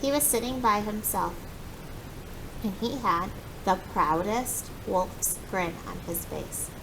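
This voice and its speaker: A woman reading a children's story aloud in an animated, expressive way, acting out the dialogue. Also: slow, poor audio. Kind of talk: animated children's book narration. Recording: clear background noise with low rumble.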